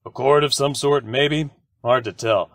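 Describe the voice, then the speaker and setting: Man as radio-drama police lieutenant, businesslike and wry, medium pitch. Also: measured pace, no echo, clean studio sound, close to an everyday voice. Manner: matter-of-fact. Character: brisk, wry male police lieutenant, old pal of the detective. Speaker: supporting actor. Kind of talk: old-time radio drama acting.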